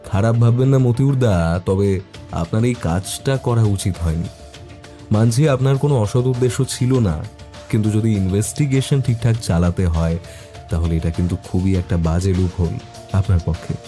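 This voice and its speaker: A man's voice: on the low side, normal speed, mellow, soft background music. A man reading a scripted detective story as narrator, also speaking the detective's questions.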